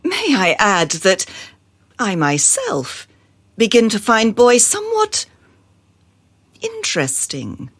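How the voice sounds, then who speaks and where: An actress plays a haughty madam of high society in a satirical radio drama. She talks slowly and grandly, her pitch sweeping up and down. The manner is callous, theatrical and condescending. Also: huge pitch swings, breathy edge, full character voice. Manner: theatrical, imperious, drawn-out.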